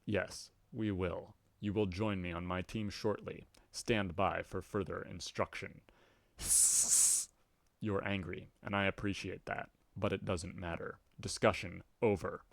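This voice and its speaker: A man's voice: sits low, fairly even intonation, measured pace, vocal fry, dry recording. A man voicing a cold, commanding male troll who speaks in stiff, formal orders. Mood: stern.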